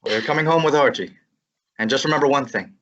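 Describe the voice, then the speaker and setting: A man's voice: medium pitch, fast talker, fairly bright tone, highs cut off, lightly acted. A man playing a wisecracking young male detective assistant in a student radio drama, breezy and matter-of-fact. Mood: wry. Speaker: student actor.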